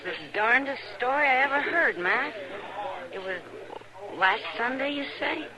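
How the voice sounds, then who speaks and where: A woman's voice: sits high, expressive, unhurried, rough recording. An actress playing a wry, worldly frontier saloon woman in an old-time radio western, dry and casual. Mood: curious, conversational. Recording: old radio drama with crowd murmur.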